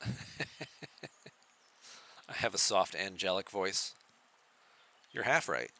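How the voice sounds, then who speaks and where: A soft-spoken man streams a game from his home setup and chats easily with viewers. His voice sits a little low in pitch but comes across very bright and forward. He talks in a relaxed, chatty way. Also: slow, creaky, a little hiss or hum. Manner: relaxed, chatty, answers chat questions. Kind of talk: casual live-stream chat with viewers.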